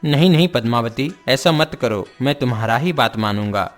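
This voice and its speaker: A man's voice: mid-range voice, animated, moderate pace, faint music behind, close to an everyday voice. Plaintive young commoner lover acted by a man. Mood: pleading. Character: humble, lovelorn commoner, secret lover of a princess.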